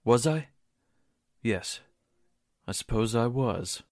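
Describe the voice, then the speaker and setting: A man performing in a deliberate 1940s radio drama style. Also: medium pitch, animated, no echo, studio quality.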